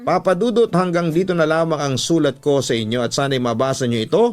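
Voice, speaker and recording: A man with a Filipino accent hosting a storytelling program, narrating and advising in a measured, earnest way. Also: a little high. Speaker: radio storytelling host reading listener letters. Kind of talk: dramatic scripted narration and advice, Tagalog love-story program. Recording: voice over a music bed. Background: background music.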